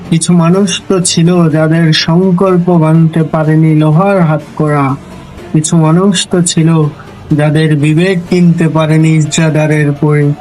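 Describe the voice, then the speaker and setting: A man recites poetry solemnly at a very slow pace, in a fairly high voice. His delivery is measured and declamatory, with deliberate pauses and faint music behind him. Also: darkish tone, poor audio. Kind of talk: solemn dramatic recitation of a protest poem. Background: background music.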